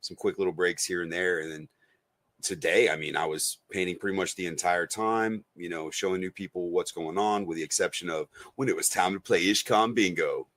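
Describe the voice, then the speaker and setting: A man's voice: low-pitched, fairly quick, a bit bright, no room sound. A man co-hosting a podcast in casual chat with friends, informal and full of slangy enthusiasm. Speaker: podcast co-host.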